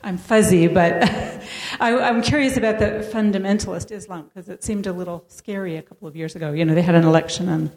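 A woman's voice: fairly low pitch, a little fast, few pauses, a little mellow. A woman in the audience asking the panel questions, inquisitive and slightly unsure. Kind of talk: casual group discussion. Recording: echoey room.

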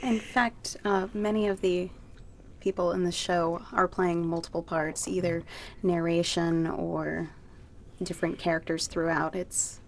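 A woman's voice: on the low side, fairly even intonation, unhurried, a little hiss or hum. A woman stage actor talking as a guest in a casual interview, explaining things informally.

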